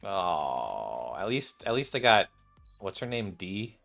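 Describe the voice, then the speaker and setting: A man's voice: low voice, big pitch swings, takes it slowly, creaky, a bit bright, soft background music. A man giving casual, off-the-cuff commentary on a game, rambling and thinking aloud as he plays. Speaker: gaming video creator.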